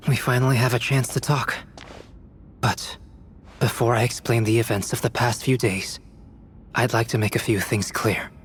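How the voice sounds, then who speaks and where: An actor playing a composed young alchemist knight in a video game, speaking in a measured, formal way. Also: male voice, medium pitch, steady tone, slight background noise, close to an everyday voice. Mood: calm explaining.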